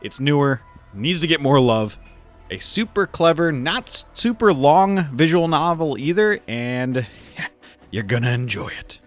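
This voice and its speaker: A man's voice: fairly high pitch, very animated, a little slow. Casual chatty man over background music. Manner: informal, chatty, lightly joking. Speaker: video host reviewing games. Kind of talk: casual scripted video commentary.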